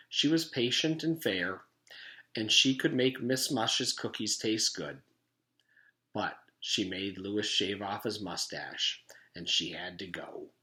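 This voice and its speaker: A man's voice: fairly low pitch, bright, forceful tone. A man narrating a children's book aloud as a teacher reading to his class. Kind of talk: storybook read-aloud narration.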